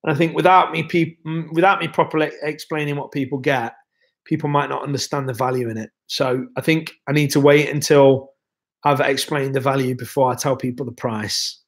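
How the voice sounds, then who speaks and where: A young man hosts a relaxed livestream, rambling from one viewer comment to the next with plenty of ums and restarts. He is still ill and his voice is wearing thin. His pitch sits in an ordinary middle range. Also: brisk. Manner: relaxed, rambling, chatty with many fillers. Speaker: livestream host. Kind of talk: casual livestream chat reading viewer comments.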